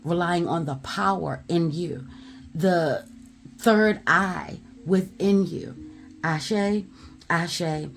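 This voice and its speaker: A woman giving a spiritual tarot message in a loud, emphatic voice, blunt and at times swearing, punctuating her points with repeated affirmations. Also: lowish voice, drawn-out words, faint music behind. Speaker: tarot reader.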